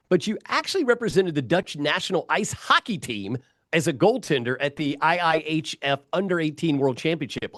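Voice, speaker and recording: A man's voice: mid-range voice, no echo. A man hosts a sports interview podcast, his voice rising and falling a lot as he asks his questions. He leans hard on key words, and his voice is dry and close to the mic. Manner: animated, eager questions with emphatic stresses. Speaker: podcast host.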